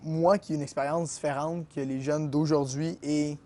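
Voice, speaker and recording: A man's voice: fairly high pitch, fairly even intonation, normal speed, dark tone, a little hiss or hum. Easygoing young Québécois man. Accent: Québécois French. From Quebec. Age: young adult. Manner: easygoing, hesitant with many fillers. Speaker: guest, college tennis player. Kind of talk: casual interview answers.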